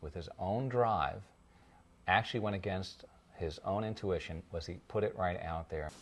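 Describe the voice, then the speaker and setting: A male speech therapist narrates in a calm, measured voice-over. His voice sits very low. He explains things steadily and plainly. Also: slight background noise.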